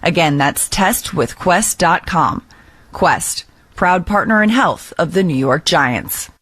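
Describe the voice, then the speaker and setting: A woman's voice: fairly low pitch, animated, takes it slowly, fairly bright tone, breathy edge, no echo. A woman announcer reading a scripted radio commercial.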